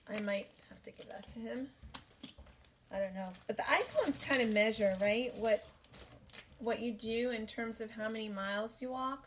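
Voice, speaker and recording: Woman in her 40s, higher voice, very slow and unhurried, lots of ums, bedroom haul chat. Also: slight background noise. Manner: unhurried, thinking aloud with many ums. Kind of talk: casual show-and-tell haul video. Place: bedroom, indoors. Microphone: camera at desk distance.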